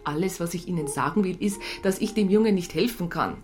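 A woman's voice: fairly low pitch, brisk, darkish tone, faint music behind, lightly acted. Woman playing a blunt, sardonic police psychologist. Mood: resigned, sardonic. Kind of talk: naturalistic radio drama dialogue.

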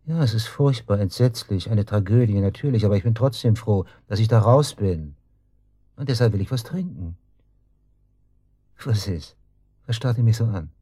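Smug freed suspect, low husky voice, mocking and casual, dry close radio drama sound. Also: male voice, expressive, normal speed, long pauses, warm tone, dry recording. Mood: taunting. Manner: mocking, feigned casualness, taunting. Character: smug, needling man just released from custody. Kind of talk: German radio drama acting.

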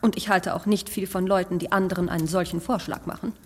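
An actress playing a gentle, sympathetic young mother who speaks softly and earnestly. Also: fairly low pitch, steady tone, quick pace, long reverb tail, slight background noise, natural voice. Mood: tender. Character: gentle, tender young mother, easily moved. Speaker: actress in a radio play.

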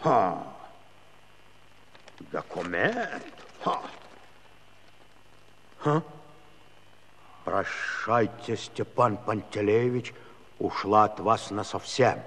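An actor playing a gruff, sardonic Cossack husband in a comic radio play, scoffing and grunting between phrases. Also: male voice, on the low side, animated, drawn-out words, pauses often, a bit bright, heavily acted. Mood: mocking reading aloud. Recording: reverberant hall-like studio recording.

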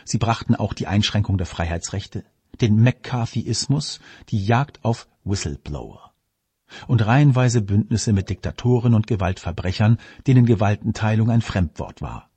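A man reads scripted commentary in a measured, formal way. His voice is low and dark, with a husky grain. The sound is dry and close. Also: dry recording. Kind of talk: scripted political commentary, read aloud.

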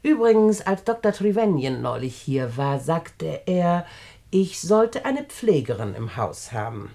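A woman's voice: deep voice, sing-song, a little slow, vocal fry, warm tone, heavily acted. An actress playing a rich, sly, domineering older woman in a German radio play, with an imperious, theatrical manner. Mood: brisk, imperious. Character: domineering, sly, rich old widowed aunt.

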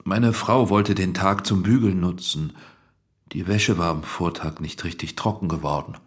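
A man's voice: sits low, normal speed, natural voice. An actor playing a subdued married man answering a magistrate's questions as a suspect in a German radio drama.

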